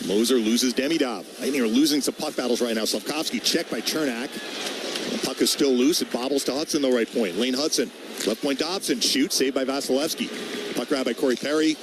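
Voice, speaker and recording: A man's voice: medium pitch, a little fast, background noise. A man doing live radio play-by-play of ice hockey in short, clipped running calls.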